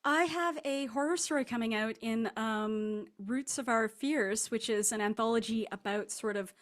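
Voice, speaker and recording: A woman's voice: on the high side, normal speed. A woman speaking casually as a guest author in an interview, rambling and self-deprecating. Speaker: guest fiction writer.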